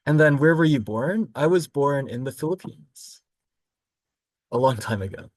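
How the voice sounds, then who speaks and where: Relaxed male instructor, dry close sound. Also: medium pitch, moderate pace, no echo. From Florida, United States. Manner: relaxed and explanatory, with frequent "um" and self-corrections. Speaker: college literature instructor. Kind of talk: recorded course overview lecture.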